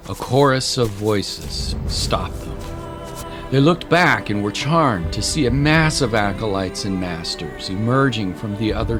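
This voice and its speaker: A man reading scripted story narration as the narrator of a drama. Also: fairly low pitch.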